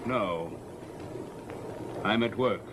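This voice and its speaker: An actor playing a jealous, haughty stage-actor turned sorcerer in an old-time radio horror drama, with ominous, theatrical delivery. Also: male voice, fairly low pitch, extremely slow. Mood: curt, hostile. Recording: noisy old radio recording.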